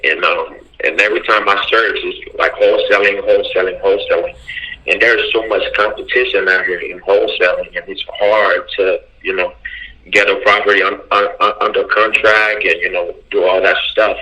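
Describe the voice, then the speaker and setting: Haitian man, 30s, very deep and sharp-edged, hesitant phone caller. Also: fairly even intonation, moderate pace. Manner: hesitant, many fillers and restarts. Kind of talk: casual phone call. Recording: phone line.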